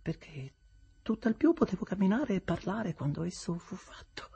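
An icily calm, proud young woman, as a male reader voices her, speaking in a quiet, level, half-whispered tone raised well above his own pitch. Her husky, dark delivery never lifts into anger or warmth. A storybook voice of cool indifference. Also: moderate pace, heavily acted. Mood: cold. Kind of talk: audiobook reading of a classic novel.

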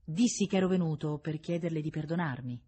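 A woman voicing an earnest, remorseful gentleman in a storybook, pleading and insistent. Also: low-pitched, brisk, husky. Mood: earnest, resolute.

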